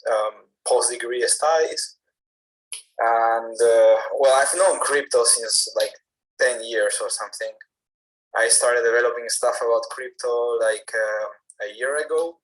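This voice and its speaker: Soft-spoken man from Peru, explaining. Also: medium pitch, low-quality recording. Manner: measured, slightly halting, explanatory. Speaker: guest, project founder. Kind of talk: casual interview. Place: home in France, late at night.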